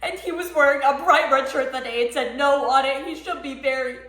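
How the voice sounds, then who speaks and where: A woman performing a dramatic monologue as a frantic, grieving mother, with emphatic delivery and heavy pauses. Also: sits high, pushed and bright. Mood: outraged, distraught. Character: frantic mother of a middle schooler, desperate and grieving. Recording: echoey room, voice ringing off walls.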